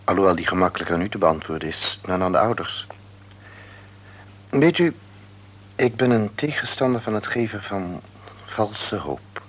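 An actor playing a frank, cautious male hospital doctor in a radio play, speaking in a measured, formal way. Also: lowish voice, low-quality recording.

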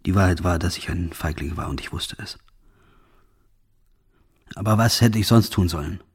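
An actor playing a tormented, guilt-ridden soldier from a firing squad, giving a grave confessional monologue in a radio drama. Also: male voice, sits low, a little mellow, breathy edge, heavily acted. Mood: helpless, ashamed.

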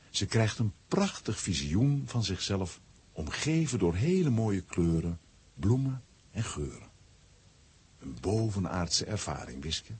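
A man's voice: low voice, darkish tone, breathy edge, heavily acted. A man narrating a scripted children's audio drama as its storyteller. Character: storyteller relating a comic adventure.

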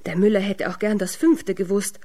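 Woman storyteller reading a fairy tale. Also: mid-range voice. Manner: measured, expressive storytelling. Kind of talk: fairy-tale narration for radio drama.

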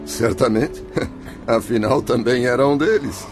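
A man's voice: mid-range voice, a little slow, music in the background, close to an everyday voice. An actor playing a volatile, anguished male patient, the spirit of a suicide, in a radio drama. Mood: mocking.

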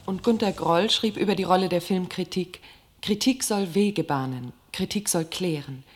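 A woman reading scripted quotations aloud in a measured, declarative way. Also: lowish voice.

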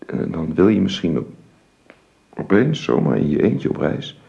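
An actor playing a caring middle-aged father in a radio drama, speaking in a gentle, halting and soothing way. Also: low-pitched, sing-song, creaky, slightly rough, heavily acted. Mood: tender. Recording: muffled, noisy old radio recording.

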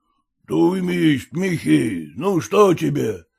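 A man's voice: a little high, big pitch swings, slow pace, vocal fry, a little mellow, husky, full character voice. A man doing a placating peasant driver. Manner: coaxing, soothing.

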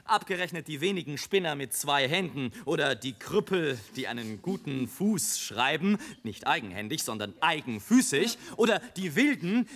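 An actor playing a sardonic airship traveller who looks down on mankind, mocking and oratorical in a literary radio play monologue. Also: male voice, sits high, creaky, fairly bright tone, heavily acted. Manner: mocking, oratorical, rolling long sentences. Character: sardonic male airship traveller, grimly mocking his fellow men.

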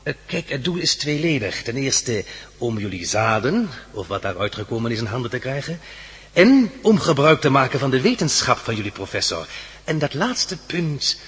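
A man's voice: mid-range voice, fairly bright tone, big-room sound, exaggerated voice. An actor playing a smug, scheming villain's henchman in a radio drama, mockingly polite. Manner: smooth, mockingly polite, chuckling.

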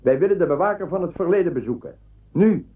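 An actor playing a sly, imperious emperor in a science-fiction audio drama, speaking in a measured, commanding way. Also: male voice, sits high, expressive. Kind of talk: science-fiction radio play acting. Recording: narrow phone-like band, faint noise.

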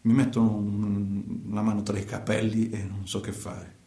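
An actor playing a naive young man who drives migrants and dreams of singing, with a southern Italian accent, in an excitable, repetitive, colloquial radio theatre style. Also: lowish voice, normal speed, roomy sound, lightly acted. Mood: subdued, narrating.

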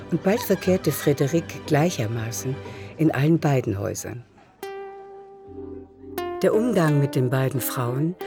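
A man narrating a literary radio play in a measured, deliberate manner. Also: medium pitch.

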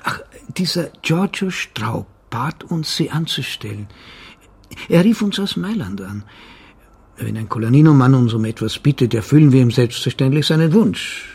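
An actor playing a self-important small-town factory official in a radio crime drama, guarded and defensive, with a husky, gravelly voice. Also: male voice, medium pitch, lively intonation, moderate pace, darkish tone, full character voice. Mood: guarded, evasive.

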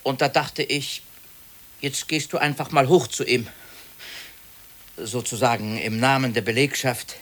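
A man's voice: medium pitch, fairly even intonation, measured pace, long pauses, fairly bright tone. A man playing a wary, inquisitive office worker in a naturalistic radio drama, politely probing and a little hesitant.

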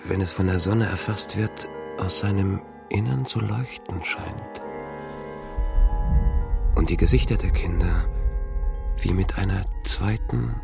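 A gravelly, haunted low-voiced man. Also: slow pace, long pauses, full character voice. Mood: haunted, absorbed. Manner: brooding, fragmentary, introspective. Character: haunted man troubled by intrusive, unexplained memories. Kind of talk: radio drama interior monologue. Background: music.

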